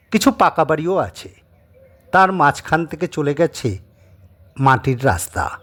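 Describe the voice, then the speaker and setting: Husky, animated male story narrator. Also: medium pitch. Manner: expressive storytelling. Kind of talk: dramatic scripted story narration.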